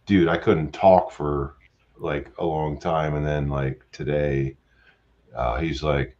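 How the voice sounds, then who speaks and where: Very low male caller, meandering delivery, dull lo-fi line. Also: fairly even intonation, darkish tone. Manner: rambling, halting, lots of fillers. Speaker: guest caller. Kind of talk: casual live chat. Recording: dull lo-fi call audio with faint noise.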